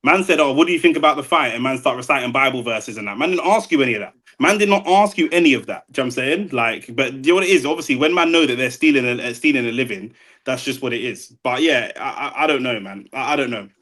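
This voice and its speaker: A man's voice: medium pitch, expressive, quick pace, fairly bright tone. A young man, co-hosting a boxing chat, blunt and opinionated with street slang. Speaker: boxing podcast co-host. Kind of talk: casual chat.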